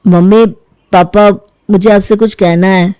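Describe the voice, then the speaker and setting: Mellow, nervous young man voiced by a woman. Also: medium pitch, fairly even intonation, unhurried, clear, resonant tone, no room sound, clean studio sound, lightly acted. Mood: nervous confession. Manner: hesitant, anxious. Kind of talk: Hindi cartoon moral story dialogue.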